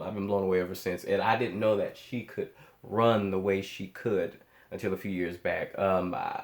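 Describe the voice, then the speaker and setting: A man's voice: fairly low pitch, a little mellow, echoey room. A man giving casual, off-the-cuff reaction commentary as a host, speaking with amazed emphasis and often repeating his words and phrases. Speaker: host of a music reaction channel.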